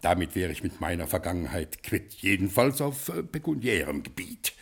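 An actor playing a grandiose reformed American gangster boss who gives orders like a gentleman, brisk and authoritative, in a 1960s German radio play. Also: sits low, sing-song, creaky, breathy edge, heavily acted. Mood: commanding.

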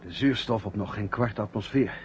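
Man acting a dazed, low-voiced weakened astronaut. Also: natural voice. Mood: groggy, confused. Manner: halting, repeating words. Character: weak, dazed male astronaut starved of oxygen. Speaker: actor in a science fiction radio serial. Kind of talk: 1970 Dutch-language radio drama acting. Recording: dull vintage radio recording with faint hum.

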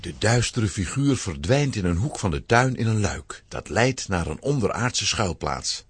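A man's voice: lowish voice, sing-song, measured pace, slightly rough, no echo. A man narrating a scripted children's story in a dramatic storytelling manner.

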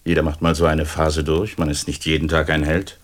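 An actor playing a smooth-talking secret agent in a German radio drama, polite and insinuating with veiled menace. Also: male voice, a bass voice, completely flat delivery, brisk.

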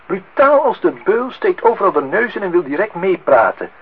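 A man's voice: high voice, big pitch swings, normal speed, slightly rough, full character voice. An actor playing a wry, grumbling man acting the husband and father in a Dutch radio play, with a dry, theatrical irony. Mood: indignant. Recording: tinny, band-limited old radio recording, faint noise.

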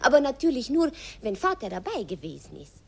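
A lively country girl. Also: sits high, fairly quick, vocal fry, breathy edge, heavily acted. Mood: teasing. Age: young adult. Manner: playful, broken German grammar. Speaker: Piroschka, station master's daughter. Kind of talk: 1950s radio play acting.

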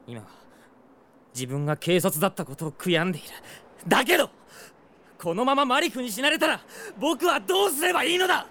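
An actor playing an earnest, headstrong young prince in a fantasy audio drama, delivered with dramatic intensity. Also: male voice, very high-pitched, big pitch swings, fairly quick, pushed and bright, exaggerated voice. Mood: remorseful, anguished. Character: earnest, headstrong young male prince, anguished for his friends.

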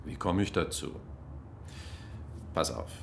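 A man's lowish voice with faint ambience behind it. He is a drily sarcastic diplomat in a radio crime drama, smooth-talking and evasive. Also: big pitch swings, slow pace, a little hiss or hum, exaggerated voice. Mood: wry bargaining. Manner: dry, ironic, smooth-talking. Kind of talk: naturalistic radio drama acting.

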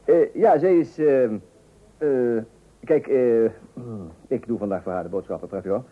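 A man's voice: mid-range voice, normal speed, slightly rough, natural voice. An actor playing a penny-pinching, self-important father in a comic radio play, blustering and stammering when flustered. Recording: old tinny radio recording.

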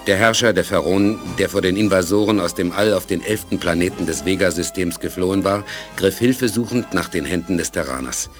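An actor as the sober narrator of a space adventure, telling it in a measured, dramatic way for a 1980s German radio play. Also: male voice, deep voice, soft background music, lightly acted. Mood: sober, suspenseful.

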